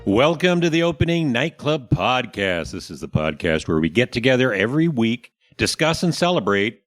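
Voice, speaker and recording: A man's voice: medium pitch, big pitch swings, moderate pace, faint music behind. An American man hosting a podcast, talking in a casual conversational style. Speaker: podcast host.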